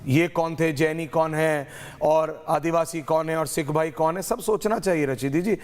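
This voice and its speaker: A man's voice: a little high, normal speed. A man moderating a heated TV debate, interrupting and pressing the panel, his throat sore. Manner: interrupting, forceful, mixes English phrases. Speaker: debate show anchor.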